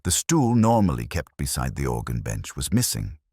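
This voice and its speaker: A man narrating a scripted mystery story in a measured, literary manner. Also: very deep voice, big pitch swings, a little mellow, breathy edge, no room sound, studio quality. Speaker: audiobook narrator.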